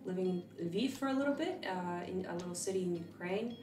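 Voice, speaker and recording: A young woman in her twenties whose first language was Russian talks easily and a little low, with plenty of fillers and restarts. She sounds set back from the mic in an echoing room, with music playing beneath her voice. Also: brisk, distant mic. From California, USA. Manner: relaxed, chatty, with frequent fillers and restarts. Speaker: interview guest, vet student. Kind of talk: casual interview chat.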